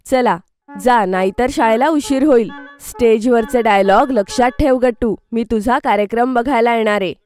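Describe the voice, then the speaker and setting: Woman playing a gentle high-voiced cartoon mother. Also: normal speed, faint music behind, close to an everyday voice. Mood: gently explaining. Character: gentle encouraging mother of a young boy. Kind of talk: children's cartoon story acting.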